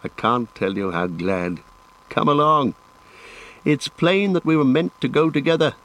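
Man performing a hobbit gentleman, gentle, earnest, well-spoken, resolute, affectionate scolding, audiobook character. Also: medium pitch, animated, measured pace, pitched above the performer's own voice, poor audio. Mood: resigned, glad.

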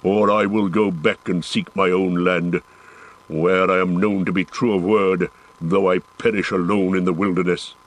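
A man reading an audiobook, voicing a proud and stubborn male dwarf warrior in a gruff, defiant manner. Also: sits low, level tone, normal speed, lightly acted. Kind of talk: audiobook character voice.

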